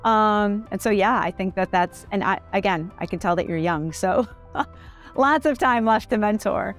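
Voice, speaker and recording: A woman's voice: mid-range voice, moderate pace, faint music behind. A woman hosting a casual interview chat, asking friendly, upbeat questions. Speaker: host.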